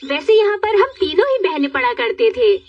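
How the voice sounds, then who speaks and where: A woman's voice: sits high, big pitch swings, normal speed, recorded from a distance. A woman playing a headstrong, emotional young woman in a dubbed cartoon, speaking with dramatic emphasis. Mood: nostalgic, friendly. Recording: muffled lo-fi cartoon audio with music.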